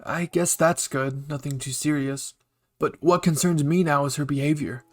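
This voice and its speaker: A man's voice: on the high side, fairly even intonation, normal speed, darkish tone, close to an everyday voice. A man voicing an eccentric, curious woman scientist who rambles with fillers, in a fan story reading. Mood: chatty.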